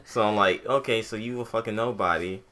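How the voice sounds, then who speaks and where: A man's voice: on the low side, brisk, bright, forceful tone. A man co-hosting a podcast in casual chat, with slangy, profane banter. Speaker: podcast co-host.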